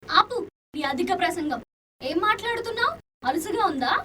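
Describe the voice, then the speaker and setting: A woman's voice: very high-pitched, animated, moderate pace, sharp tone, far from the mic, slight background noise, heavily acted. An actress playing a frightened but spirited young woman on the run in a radio play, dramatic in delivery. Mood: indignant. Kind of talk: radio play acting in Telugu.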